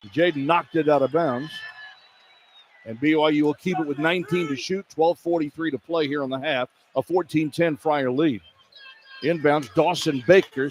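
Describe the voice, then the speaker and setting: A man doing live radio play-by-play commentary of a basketball game as the announcer. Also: a little high. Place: courtside in a basketball arena. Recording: clear arena noise behind broadcast.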